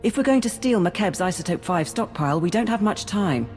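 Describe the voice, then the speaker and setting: A woman playing a brisk, efficient military operations coordinator giving businesslike briefings in a video game. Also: medium pitch, lively intonation, darkish tone, lightly acted. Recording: reverberant hall, music behind.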